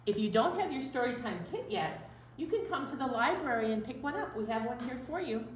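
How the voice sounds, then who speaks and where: A woman with a high, swooping voice presents a children's storytime. She is playful and talks straight to her young audience, heard from a little way off in an echoey room. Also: expressive, fairly quick. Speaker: children's library storytime host. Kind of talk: cheerful children's program presenting. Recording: distant, echoey room with background noise.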